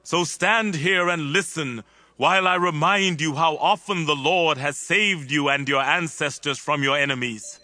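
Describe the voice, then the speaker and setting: A man's voice: on the high side, pushed and bright, no room sound. A man playing a stern, solemn prophet admonishing his people in a dramatized scripture reading. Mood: stern, reproachful. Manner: slow, grave, exhorting. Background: music.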